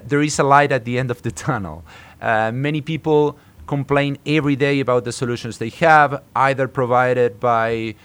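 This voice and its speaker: Halting man from Argentina in an interview. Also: mid-range voice, clean tone. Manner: halting, hesitant phrasing with repeated words. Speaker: startup co-founder being interviewed. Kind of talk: interview answers.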